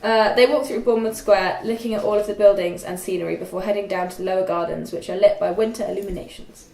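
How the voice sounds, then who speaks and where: A young woman reading screen directions as narrator at a script table read, halting and stumbling a little over the text. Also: medium pitch, fairly even intonation, creaky, mellow. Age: young adult. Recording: echoey room.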